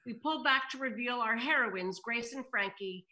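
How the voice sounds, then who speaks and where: A woman's voice: on the high side, moderate pace, bright, forceful tone, low-quality recording. A woman on a video call announcing and reading scripted stage directions as narration. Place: indoors at home on a video call. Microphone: video call microphone.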